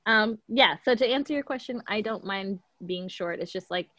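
A young woman with a high, youthful-sounding voice, talking frankly from her apartment on a call, laughing often and swearing casually. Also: normal speed, a bit bright, no echo. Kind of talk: casual chat.

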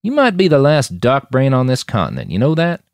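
A man's voice: medium pitch, huge pitch swings, a little fast, no echo, studio quality, voice pushed up. A man voicing a sly, cynical, foul-mouthed mercenary boss in audiobook dialogue, with a blunt, scoffing manner. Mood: wry.

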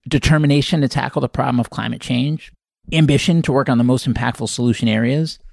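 A man's voice: on the high side, creaky, no echo, clean studio sound. A man hosting a podcast, introducing the show and interviewing a guest.

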